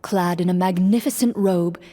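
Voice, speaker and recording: A woman playing a game master who narrates a fantasy adventure in a measured, dramatic way for a video game. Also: on the low side, dark tone, natural voice. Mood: measured, atmospheric. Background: game music.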